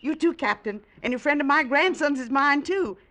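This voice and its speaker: A woman's voice: high voice, lively intonation, normal speed, a bit bright. An actress playing a cheerful, hospitable old American grandmother back from the dead, folksy and insistent in a vintage radio drama. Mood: hospitable, breezy. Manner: folksy, insistent, brushes questions aside.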